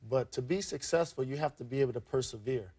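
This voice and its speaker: Mid-pitched man, co-host, earnest measured questions. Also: darkish tone, breathy edge. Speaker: interview co-host. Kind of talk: casual interview talk.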